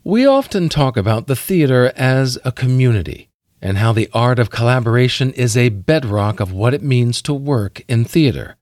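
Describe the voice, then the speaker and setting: A man's voice: mid-range voice, lively intonation, moderate pace, darkish tone, no echo, studio quality. A middle-aged man hosting a podcast, moving between prepared narration and interview talk. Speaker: podcast host.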